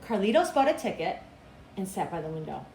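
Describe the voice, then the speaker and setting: Woman, middle-aged, storybook reader, lively intonation, echoey room. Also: medium pitch. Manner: expressive, deliberate storytelling. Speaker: school principal reading aloud. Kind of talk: children's storybook read-aloud. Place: small cinderblock school room, indoors. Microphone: camera a couple of meters away. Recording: echoey room with clear background noise.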